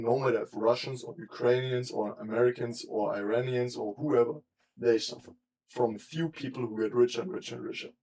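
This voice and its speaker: Flat, mellow-voiced young man rambling. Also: medium pitch, a little hiss or hum, low-quality recording. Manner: rambling and thinking aloud, with trailing sentences. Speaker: streamer. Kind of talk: casual live-stream chat while working. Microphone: desk mic.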